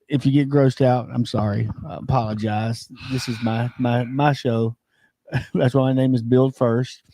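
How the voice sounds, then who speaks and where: Male co-host with a cold, mellow tone and dry sound, rambling with lots of fillers. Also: mid-range voice, steady tone, measured pace, dry recording. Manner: rambling, many fillers, chuckles at his own story. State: has a lingering cold, keeps losing his voice. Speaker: co-host of a local wrestling and sports show. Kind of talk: casual chat, storytelling.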